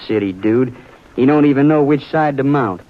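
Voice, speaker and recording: A man plays a scornful, cocky ranch hand with a taunting drawl. His voice sits at an ordinary man's pitch and comes through a dull, muffled old radio recording. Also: normal speed, natural voice. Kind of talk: 1950s radio western drama.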